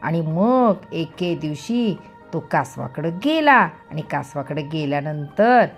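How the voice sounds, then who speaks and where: A woman's voice: sits low, big pitch swings, takes it slowly. A woman telling a story to young children expressively, drawing out and repeating words for emphasis, with music behind her. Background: background music.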